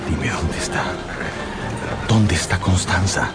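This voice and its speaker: An actor playing an earnest, passionate musketeer hero in a radio drama, urgent and theatrical. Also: male voice, low-pitched, slow, over loud music. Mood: desperate, pleading.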